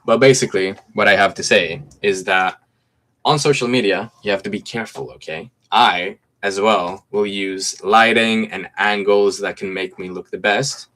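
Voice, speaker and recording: A man in his twenties from Greece, speaking with a slight American accent and a deep, low voice. He chats in a relaxed, easygoing way on a phone livestream at home, reading out comments and answering them one by one. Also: moderate pace. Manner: laid-back, chatty, reads comments aloud and answers them. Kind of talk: casual livestream chat answering viewer comments. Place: room at home with mint green walls.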